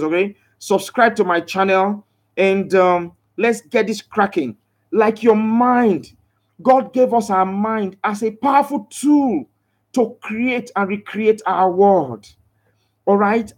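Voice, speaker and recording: A man hosting a motivational live broadcast, preaching with emphatic repeated phrases and stopping to read out and answer viewers' comments. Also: high-pitched. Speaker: live broadcaster promoting a crypto scheme.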